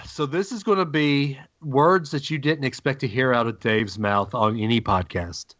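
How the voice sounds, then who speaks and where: A man co-hosting a sports podcast, ranting emphatically with dramatic pauses. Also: medium pitch, fairly bright tone, no room sound, highs cut off. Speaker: podcast co-host. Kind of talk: casual sports podcast banter.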